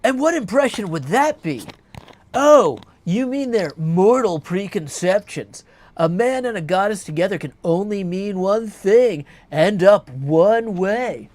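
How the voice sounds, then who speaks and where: A man voicing an earnest young goddess in a video game, with formal, measured, polite phrasing. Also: high-pitched, expressive, a little mellow, voice pushed up. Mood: coy, teasing.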